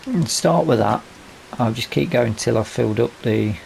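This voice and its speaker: A man giving casual let's play commentary, thinking aloud to himself in a gravelly voice. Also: mid-range voice, moderate pace, a little mellow. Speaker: gaming video host. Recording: noisy, rain and vehicle sounds. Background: farming game rain and vehicle noise.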